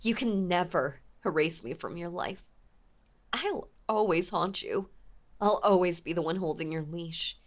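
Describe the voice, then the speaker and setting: A woman playing an obsessive, possessive mafia heiress ex-girlfriend in a dramatic audio roleplay, with intense, menacing, theatrical delivery and a breathy voice. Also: fairly low pitch, a little slow, lightly acted. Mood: angry, possessive. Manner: intense, menacing, theatrical, swinging between hush and outburst. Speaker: voice actor.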